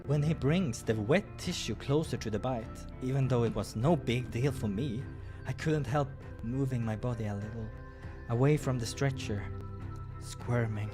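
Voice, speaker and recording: A man narrates a visual novel playthrough in a mid-pitched voice, slipping between story reading and casual commentary. Music plays clearly behind him. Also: normal speed. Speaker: game playthrough host and narrator.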